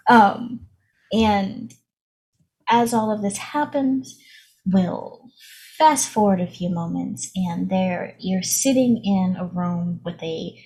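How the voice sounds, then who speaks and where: A woman's voice: medium pitch, a little mellow, rough recording. A woman working as game master, narrating a tabletop game in a casual, improvised style.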